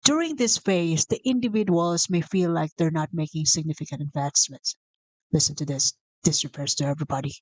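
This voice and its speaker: A woman with a Filipino accent talks in a relaxed, explanatory way, speaking straight to her listeners and correcting herself as she goes. Her voice sits a little low. The sound is dry and close. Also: a little fast, no room sound, poor audio. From Philippines. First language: Bisaya. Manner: conversational, addresses listeners directly, self-correcting. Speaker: motivational presenter. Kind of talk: casual explanatory monologue.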